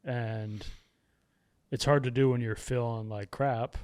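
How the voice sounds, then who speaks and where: A man's voice: medium pitch, steady tone, takes it slowly, a little mellow. A man who writes and hosts his own podcast, speaking as a guest and talking candidly about himself with self-deprecating humor. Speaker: podcast guest, author and podcast host. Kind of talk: reflective casual conversation.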